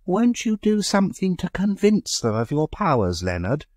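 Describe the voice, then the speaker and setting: A man reading a story aloud, voicing an excitable society aunt who pleads and then screams shrilly. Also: high voice, normal speed, dry recording, studio quality, voice pushed up, full character voice. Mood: pleading.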